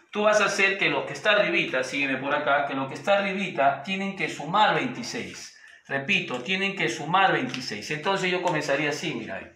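A man's voice: on the high side, sharp tone. A man, teaching a chemistry lesson at a whiteboard and often voicing his students' questions himself. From Peru. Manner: addresses students directly and voices their questions; slows to a sing-song when dictating orbitals. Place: small room at a whiteboard. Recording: echoey room.

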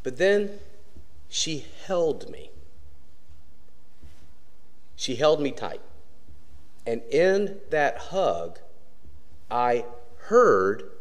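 Slow, lively-voiced pastor in a reverberant church. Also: male voice. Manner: storytelling preacher, pauses often and varies pitch widely. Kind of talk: sermon. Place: church sanctuary with wooden pulpit. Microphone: pulpit microphone.

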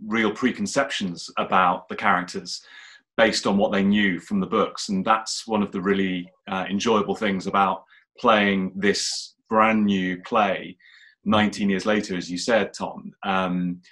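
A middle-aged man with a fairly low voice hosts a Q&A. He puts questions to guests over a video call from his living room. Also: little pitch movement, a little slow, a bit bright. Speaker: host, interviewer. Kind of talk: hosting a Q&A, posing questions.

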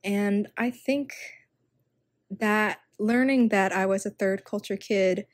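A woman's voice: medium pitch, takes it slowly, dry recording. Young woman talking earnestly, lots of ums, dry close sound. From China. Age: young adult. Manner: earnest, conversational, with fillers. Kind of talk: casual explanation.

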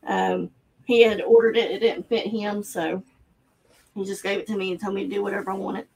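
A woman in her thirties co-hosting a live online clothing auction from a cluttered room at home, calling bids briskly and describing items plainly, recorded on a webcam at her desk. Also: mid-range voice, level tone, darkish tone, slightly rough, rough recording.

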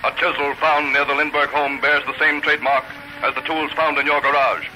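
Accusing interrogator, highish piercing voice, declamatory prosecutorial delivery, noisy vintage radio. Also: male voice, flat delivery, a little fast, bright, forceful tone. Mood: stern, accusing. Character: stern accusing interrogator listing evidence. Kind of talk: 1930s radio news dramatization. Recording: noisy old 1930s radio recording.

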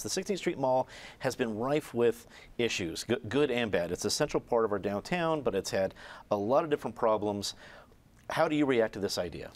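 A middle-aged male host steering a TV panel with brisk questions. His pitch moves a lot, rising and falling as he talks. He is recorded on a lapel mic in a quiet studio. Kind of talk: moderating a televised panel. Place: television studio.

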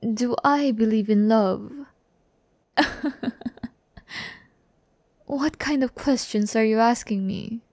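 Slow, soft-toned teasing demon girl played by a woman. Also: on the high side, no echo. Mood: aloof, boastful. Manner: breathy, unhurried, teasing, often dropping to a whisper. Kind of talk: intimate ASMR audio roleplay. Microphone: close-up microphone.

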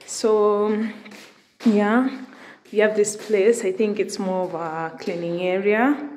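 A woman's voice: mid-range voice, steady tone, slow, darkish tone, a little hiss or hum. A young Kenyan woman vlogging casually, chatting as she films a house tour on her phone and slipping Swahili into her English. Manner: chatty, mixes Swahili into English, laughs often. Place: rented house, indoors, walking room to room. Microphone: phone camera held in hand.

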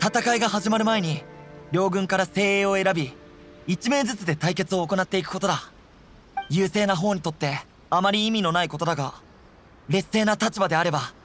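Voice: medium pitch, fairly bright tone, close to an everyday voice. A performer playing an earnest, loyal and fiery young male resistance general in an anime game, speaking resolutely. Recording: prominent music under the voice.

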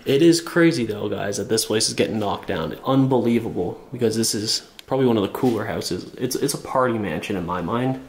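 A young man with a cold and a lowish voice narrates his tour of an abandoned house. He is enthusiastic and chatty, full of fillers. He speaks into a small camera held at arm's length indoors. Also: animated, brisk, hardly any pauses. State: sick with a cold. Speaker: urban explorer vlogger. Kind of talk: casual walkthrough narration.

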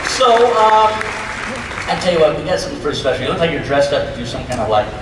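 A man's voice: medium pitch, very animated, fast talker. A middle-aged man casually hosting a live convention panel from a big theatre stage, heard from the audience. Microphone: stage mic through PA, picked up by distant camera. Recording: echoey hall from far off, loud crowd noise.

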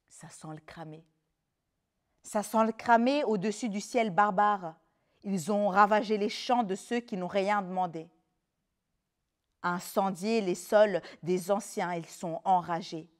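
A woman's voice: lowish voice, darkish tone. A woman slam artist, speaking as a spoken word performer. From Belgium (Brussels), of Rwandan descent. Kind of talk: spoken word performance alternating with interview talk.

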